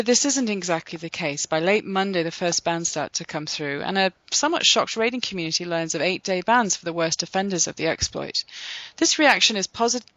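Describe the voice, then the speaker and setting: A podcast host reading a scripted recap in a steady, measured way, then introducing guests. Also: mid-range voice, no room sound, muffled recording.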